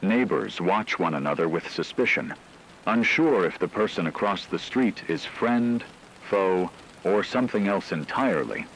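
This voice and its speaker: A low-pitched man acting as a male news correspondent in a radio drama gives grave, measured disaster reports. His delivery is formal and scripted, over noisy rain-like ambience. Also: lively intonation, fairly bright tone. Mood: grave, ominous.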